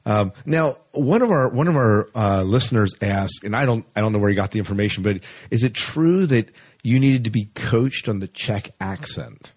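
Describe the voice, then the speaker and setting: A man hosting a podcast interview, chatty and quick to laugh. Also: on the low side, expressive, a little mellow, dry recording. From United States. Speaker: podcast host. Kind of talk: casual interview.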